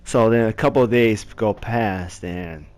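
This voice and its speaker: Animated young man telling a story. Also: unhurried. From Southern California. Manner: animated, leaps up high when reliving moments, heavy "like" fillers. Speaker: storyteller vlogger. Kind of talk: casual storytime narration.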